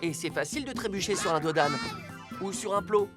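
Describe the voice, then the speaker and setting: A woman's voice: mid-range voice, lively intonation, fairly quick, natural voice. A woman voicing a talking rescue police car in a children's cartoon, firm and instructive in manner. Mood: firm teaching. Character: calm, authoritative talking rescue police car giving safety lessons. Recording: music behind the voice.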